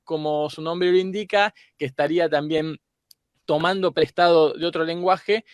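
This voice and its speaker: A man's voice: on the high side, steady tone, moderate pace, clear, resonant tone, no room sound, clean studio sound. A man with an Argentine accent giving a thoughtful, teacherly explanation as a panel guest, often searching for the next word. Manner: didactic, hesitant word-searching. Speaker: guest academic.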